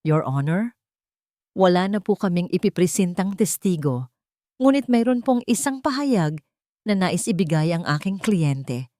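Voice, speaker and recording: A woman plays a determined male lawyer in an audio love drama. The delivery is earnest and urgent, in a mellow tone with a very dry sound. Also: lowish voice, animated, unhurried, no room sound. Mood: formal. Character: determined, serious male lawyer defending his client.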